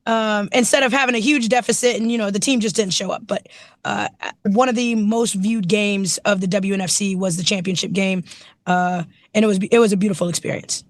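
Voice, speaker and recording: Raspy, hoarse woman coach; dry sound, keen and chatty. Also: medium pitch, steady tone, fairly quick, dry recording. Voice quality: naturally raspy voice. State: hoarse from yelling at practice. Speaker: running backs coach, guest. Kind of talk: casual interview.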